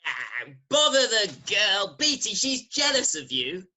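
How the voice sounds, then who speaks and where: Casting: woman as precocious schoolboy, cheeky, bright animated voice, Victorian stage farce. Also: medium pitch, unhurried, full character voice. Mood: ardent, eager. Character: precocious, cheeky adolescent boy passing for fourteen.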